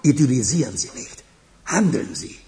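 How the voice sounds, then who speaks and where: A man in a German radio crime drama playing an agitated, sardonic, commanding crime boss with a gravelly voice. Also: mid-range voice, sing-song, moderate pace, dark tone, a little hiss or hum.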